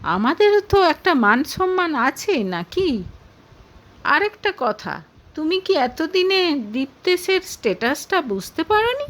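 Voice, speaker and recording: A woman's voice: extremely high pitch, very animated, unhurried, fairly bright tone, pitched above the performer's own voice, exaggerated voice. A woman voicing a status-proud middle-aged father in an audio story, pompous and disapproving. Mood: pompous disapproval.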